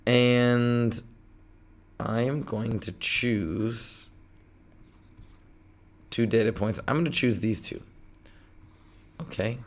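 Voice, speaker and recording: Hoarse man tutoring math live. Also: mid-range voice, very slow, long pauses. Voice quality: gravelly, strained. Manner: energetic, talks through problems step by step. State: hoarse, losing his voice.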